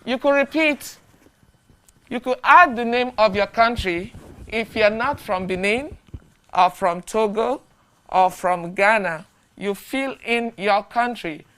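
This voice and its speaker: Woman English teacher for beginners, unhurried, crisp diction, models phrases to repeat. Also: medium pitch, fairly bright tone. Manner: slow, deliberate, repeats phrases for learners to echo. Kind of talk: beginner language lesson.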